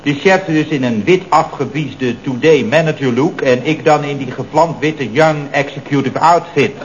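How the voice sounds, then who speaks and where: A man's voice: on the high side, moderate pace, lightly acted. An actor in a radio comedy playing an earnest, fussy male underling who politely and pedantically corrects his boss. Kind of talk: 1970s radio comedy acting. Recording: muffled old radio recording, faint noise.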